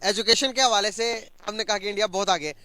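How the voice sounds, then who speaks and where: A man interviewing people, asking animated, leading questions. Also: sits high, fast talker, no room sound. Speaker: street interviewer. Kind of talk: reaction interview.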